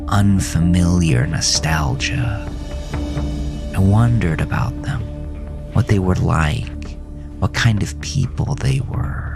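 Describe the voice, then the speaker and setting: A man with a low, creaky voice narrates a horror tale in the first person. His delivery is brooding and deliberate, like a scripted confession, with soft music underneath.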